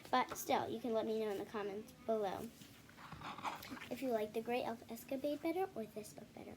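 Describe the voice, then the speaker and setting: A woman hosting a children's read-aloud video, reading a picture book with casual, halting, self-correcting asides to viewers. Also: fairly high pitch, background noise. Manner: halting, self-correcting, apologetic while showing pages.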